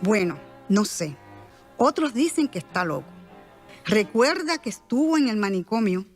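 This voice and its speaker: A woman's voice: medium pitch, very animated, normal speed, soft background music. A woman voicing a sly, scheming townswoman in a radio drama, speaking in a conspiratorial way.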